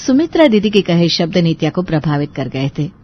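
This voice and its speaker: A woman's voice: fairly low pitch, very animated, fairly quick, highs cut off. A woman narrating a scripted story expressively.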